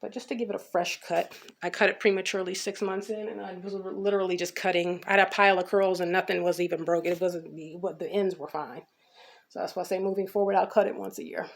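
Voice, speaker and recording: Casual American woman with a creaky voice. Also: lowish voice, steady tone, quick pace. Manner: informal, rambling, repeats herself. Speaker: natural hair care vlogger. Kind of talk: casual chatty hair update.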